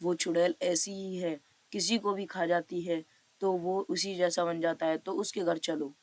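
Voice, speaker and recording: A woman's voice: fairly low pitch, little pitch movement, unhurried, a little mellow, lightly acted. A woman voicing an authoritative, paternal male village headman in a cartoon story, questioning, reassuring and giving orders. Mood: concerned.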